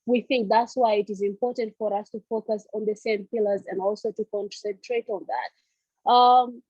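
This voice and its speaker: A woman from South Sudan presenting on a video call as a civil society panelist, speaking haltingly with many fillers. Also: mid-range voice, steady tone, darkish tone, dry recording. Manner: halting, with frequent fillers and restarts. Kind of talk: online meeting presentation. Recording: dull lo-fi call audio.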